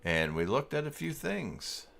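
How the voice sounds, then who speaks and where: A man's voice: medium pitch, sing-song, a little slow, vocal fry, a bit bright. A man hosting a casual livestream, thinking aloud in a rambling, muttering way. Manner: rambling, muttering through thoughts. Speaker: livestream host.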